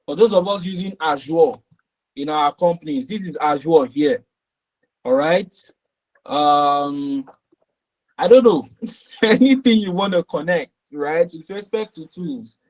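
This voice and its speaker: A man's voice: a little high, a little mellow, low-quality recording. A man instructing a live online class. He often repeats key phrases and checks in with "okay" and "all right". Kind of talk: live webinar teaching.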